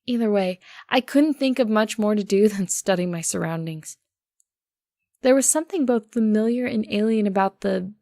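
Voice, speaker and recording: A woman's voice: mid-range voice, a little mellow, dry recording, clean studio sound. A woman reading a first-person story aloud as an audiobook narrator.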